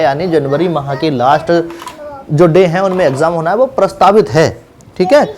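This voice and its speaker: Male coaching-channel teacher, slightly high voice, instructive informal explainer delivery with repeated key points. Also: a little mellow. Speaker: exam-preparation teacher and channel host. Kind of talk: informal explainer lesson for exam candidates.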